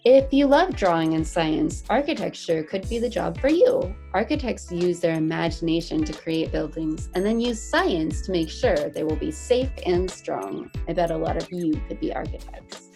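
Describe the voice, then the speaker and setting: Animated woman reading aloud to children. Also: fairly low pitch, normal speed, hardly any pauses, soft background music. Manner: animated, encouraging, explains things simply to children. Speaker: children's teacher. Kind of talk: picture book read-aloud for young children.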